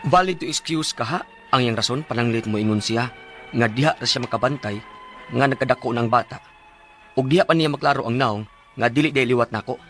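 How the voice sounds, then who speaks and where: A man's voice: medium pitch, fairly quick, fairly bright tone, soft background music, lightly acted. An actor with a Cebuano Filipino accent playing an earnest, wounded father in an emotional radio drama. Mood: hurt, resolute. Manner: emotional, pleading. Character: earnest, wounded family man and devoted father. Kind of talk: radio drama acting and first-person narration.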